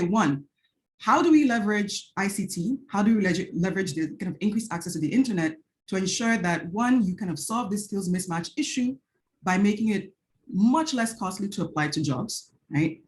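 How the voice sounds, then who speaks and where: A woman from Nigeria with a Nigerian accent giving an earnest academic panel talk on a video call. She often checks in with "right?" and restarts her phrases as she thinks. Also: medium pitch. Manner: earnest, frequent "right?" tag questions and restarts. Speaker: panel speaker, researcher.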